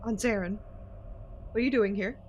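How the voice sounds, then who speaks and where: A woman's voice: medium pitch, moderate pace, darkish tone, lo-fi sound, a little hiss or hum, natural voice. A woman playing an angry, shaken young warrior in a dramatic first-person audio drama. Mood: distressed, bewildered.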